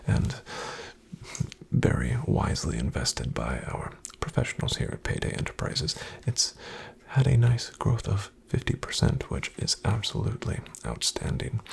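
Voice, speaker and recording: A man acts out a reassuring, formally polite financial advisor in a soft ASMR roleplay. His slightly low voice crackles heavily with fry and slips into breathy whispering. He speaks slowly and soothingly, with lively pitch movement and a quietly boastful polish. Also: full character voice.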